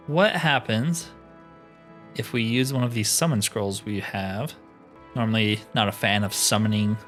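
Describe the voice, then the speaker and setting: A man's voice: fairly low pitch, fairly bright tone. A man giving casual gameplay commentary, thinking aloud with mild slangy exclamations. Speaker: let's player narrating a roleplay playthrough.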